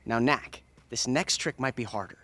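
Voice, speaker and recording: An actor playing an eager, clever young adventurer in a video game, earnest and energetic in delivery. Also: male voice, mid-range voice, moderate pace, no room sound. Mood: coaxing. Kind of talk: video game cutscene acting.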